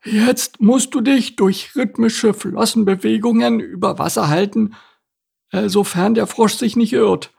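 A man voicing a fretful female turtle in a storybook reading, slow, halting and flustered. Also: sits high, creaky, darkish tone, breathy edge, pitched above the performer's own voice, full character voice. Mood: worried.

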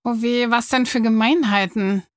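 A woman's voice: on the high side, steady tone, normal speed, no echo, clean studio sound. A woman hosting a children's audio play interview in a sympathetic, encouraging way, asking questions. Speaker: host.

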